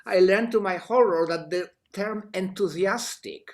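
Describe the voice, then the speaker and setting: A man speaks wryly on a video call and pokes gentle fun at himself. The tone is casual, as in a relaxed group chat. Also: high-pitched, a little slow. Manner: wry, self-mocking.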